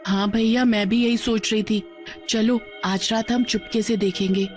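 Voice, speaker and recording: A woman's voice: fairly high pitch, steady tone, moderate pace, pitched above the performer's own voice, natural voice. A woman voicing a sweet, trusting little sister in a cartoon story, polite and childlike. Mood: agreeable. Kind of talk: Hindi cartoon story dubbing. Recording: music behind the voice.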